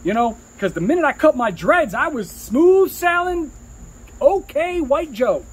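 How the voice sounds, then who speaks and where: A man's voice: very high voice, lively intonation, unhurried. A middle-aged man, a rapper telling career stories in a casual, slangy, profane way, repeating phrases for emphasis. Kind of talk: casual storytelling chat.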